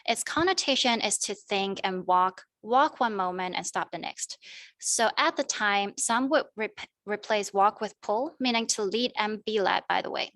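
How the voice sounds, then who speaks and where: A woman's voice: mid-range voice, normal speed, a bit bright, no echo. A young woman giving a prepared academic presentation as a student panelist, in a formal, wordy manner. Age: young adult.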